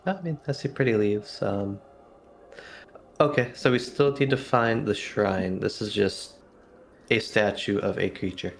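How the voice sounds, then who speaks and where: Male tabletop player: lowish, creaky voice with a bright edge, casual roleplay chat. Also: a little slow, a little hiss or hum.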